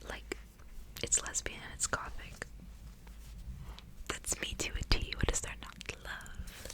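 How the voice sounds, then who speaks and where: A woman reading in a soft, breathy ASMR whisper, with slow, careful, hushed delivery, close to the microphone. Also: low-quality recording. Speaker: ASMR host reading a book aloud.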